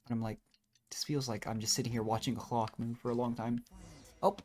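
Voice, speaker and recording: A woman's voice: a bass voice, steady tone, a little fast, a little mellow. A woman doing casual live game commentary, musing and rambling as she plays. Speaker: game streamer. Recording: faint game music behind. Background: video game music.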